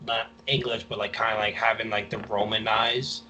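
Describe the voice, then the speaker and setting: A young man with a low voice chats on a podcast. His sentences wander and restart, filled with hedging as he works toward his point. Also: flat delivery, moderate pace, sharp tone, a little hiss or hum, poor audio. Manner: rambling, hedging with constant "like". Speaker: podcast co-host. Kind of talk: casual conversation.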